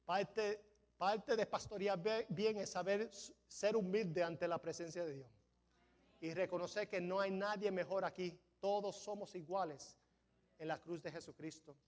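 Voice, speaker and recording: A man's voice: high voice, fairly even intonation, unhurried. A man preaching a sermon as pastor of a church, a non-native speaker who sometimes stumbles over words and builds to emphatic peaks. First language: not Spanish. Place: church sanctuary.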